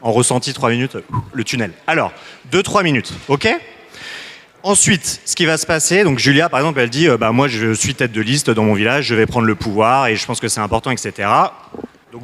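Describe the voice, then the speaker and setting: A man's voice: mid-range voice, fairly bright tone. A man facilitating a citizens' assembly, loose and joking, with many restarts.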